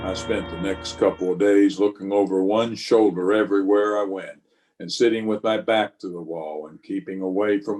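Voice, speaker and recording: An actor playing a weary, watchful Old West marshal in a radio western, speaking and narrating in a measured, terse, grave way. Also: male voice, lowish voice, darkish tone, lightly acted. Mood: weary, tense. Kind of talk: old-time radio western acting with first-person narration.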